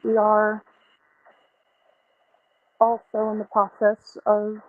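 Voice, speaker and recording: A woman in her twenties venting in a personal video, talking in a halting, weary way with many pauses and restarts. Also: mid-range voice, completely flat delivery, narrow, tinny audio, a little hiss or hum. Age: 20s. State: emotionally tired. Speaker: content creator.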